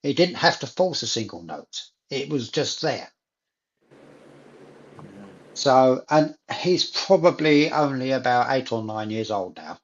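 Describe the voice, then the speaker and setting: A man's voice: mid-range voice, very animated, measured pace, dull audio. A man in a casual chat, talking enthusiastically and repeating phrases for emphasis.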